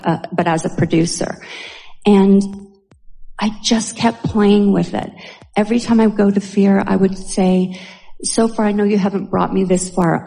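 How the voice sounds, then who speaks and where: A woman from a seminar audience telling a personal anecdote conversationally, halting and interrupting herself. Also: mid-range voice, creaky, a little mellow. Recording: reverberant hall sound.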